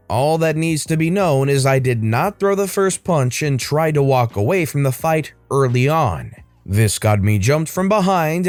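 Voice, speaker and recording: A man with a deep voice narrating online stories aloud and addressing his audience. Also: no room sound. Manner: steady storytelling, addresses the audience. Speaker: story channel narrator.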